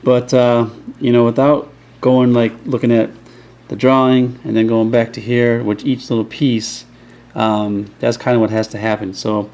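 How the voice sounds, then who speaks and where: A man's voice: mid-range voice, flat delivery, normal speed, darkish tone. A man casually explaining a speaker crossover build from behind the camera at an indoor workbench, rambling with many ums and restarts. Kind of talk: casual off-the-cuff tutorial. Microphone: camera mic, behind the camera.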